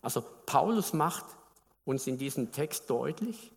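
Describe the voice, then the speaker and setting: Preacher, male, from Baden, mid pitch, chatty sermon delivery, long reverb of a church hall. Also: expressive, unhurried, creaky. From Baden, Germany. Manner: conversational, asks the congregation questions.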